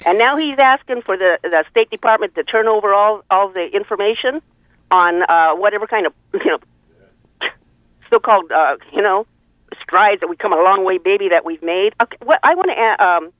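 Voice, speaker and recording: A woman's voice: medium pitch, lively intonation, moderate pace, dry recording. Telephone-quality female radio caller, opinionated, digressive and earthy, narrow tinny sound. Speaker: caller to a radio talk show. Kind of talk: casual opinionated chat. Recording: tinny, band-limited phone line.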